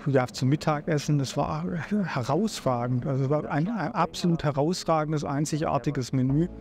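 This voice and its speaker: A man, a food journalist, speaking haltingly and searching for words in a documentary interview. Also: a little high, a little mellow.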